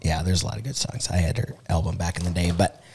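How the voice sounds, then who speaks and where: A man hosting a podcast, hoarse from shouting, with a low raspy voice, asking long rambling questions full of restarts. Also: fast. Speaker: podcast host. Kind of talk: casual interview chat.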